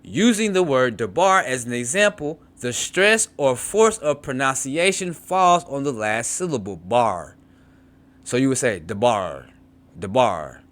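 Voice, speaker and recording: A man's voice: medium pitch, lively intonation, a little slow, fairly bright tone. Man teaching a beginners' language lesson. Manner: repeats words to model pronunciation, frequent restarts and asides. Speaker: Hebrew teacher. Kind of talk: reading a textbook aloud and explaining.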